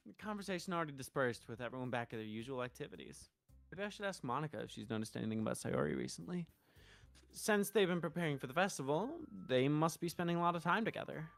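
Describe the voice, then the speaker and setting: A woman streamer talks casually and reads game text aloud with a very animated, swooping delivery. She is expressive and free with swearing, and soft music plays underneath. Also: low-pitched, fast, gravelly. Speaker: streamer reading game lines and narration. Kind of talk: casual gameplay stream with read-aloud dialogue.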